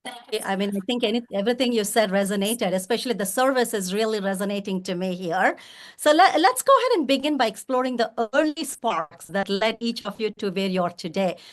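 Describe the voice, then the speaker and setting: A woman's voice: mid-range voice, expressive, fairly quick. A woman moderating a panel discussion, introducing speakers and putting questions to them.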